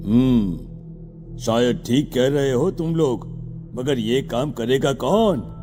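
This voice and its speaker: A man voicing a stern, brooding village headman in an animated horror story, with a commanding, deliberate manner. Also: medium pitch, over music. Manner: commanding, deliberate, with pondering hums.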